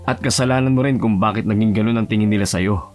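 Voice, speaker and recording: Man acting blunt, steady young Filipino bachelor. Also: on the low side, quick pace, soft background music, close to an everyday voice. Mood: blunt, firm. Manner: calm, serious, firm. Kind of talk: audio romance drama acting.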